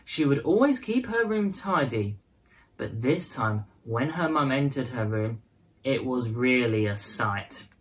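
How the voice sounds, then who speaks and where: A woman narrates a children's storybook in a fairly low voice. Her intonation is very lively, rising and falling widely as she shapes prose and verse expressively for young listeners. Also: measured pace. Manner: expressive, dramatized phrasing for children. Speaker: storyteller reading a children's picture book.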